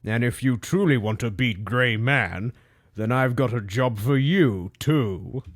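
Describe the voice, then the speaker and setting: A man's voice: medium pitch, unhurried, natural voice. A man voicing a stern, commanding older businessman who schemes, in a comic dub. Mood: menacing.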